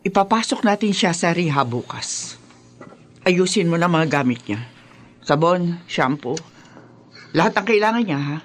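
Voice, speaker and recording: An actress playing a stern, devoted older mother with a Filipino accent in a Tagalog radio drama, emphatic and deliberate. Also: fairly low pitch, animated. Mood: firm, practical.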